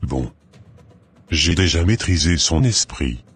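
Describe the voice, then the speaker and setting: A man voicing a sinister, commanding older marabout sorcerer in a French cartoon, speaking in a measured, solemn way. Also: very deep voice, animated, full character voice. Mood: cold, instructing. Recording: music behind the voice.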